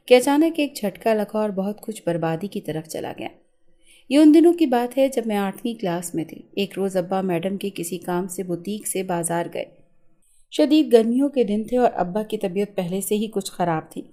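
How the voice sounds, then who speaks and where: A woman's voice: medium pitch, dark tone. A woman reading a scripted, emotional first-person story as a narrator.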